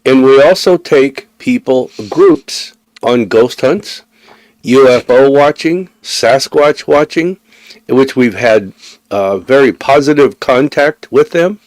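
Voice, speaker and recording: Easygoing mid-pitched man host, dry sound. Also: expressive, slow, a little mellow, no room sound, low-quality recording. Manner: easygoing, hesitant, many ums and restarts. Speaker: host of a psychic call-in radio show. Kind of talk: casual chat. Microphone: live-stream studio mic.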